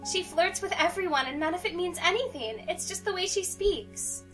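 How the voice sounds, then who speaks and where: A woman's voice: extremely high pitch, moderate pace, a bit bright, far from the mic, faint music behind. A young woman reading a visual novel game aloud in a playful way, mixed with casual banter. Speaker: let's play host.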